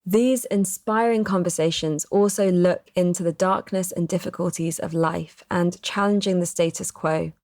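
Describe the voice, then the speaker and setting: A woman hosting a podcast, reading a scripted welcome in a calm, measured, earnest way. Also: on the low side, gravelly, no echo, clean studio sound. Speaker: podcast host.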